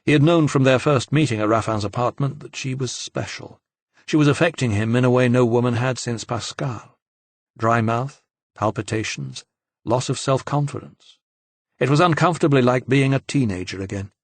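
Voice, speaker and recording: A man's voice: mid-range voice, moderate pace, dry recording. Male audiobook narrator, very dry recording. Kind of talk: scripted fiction narration.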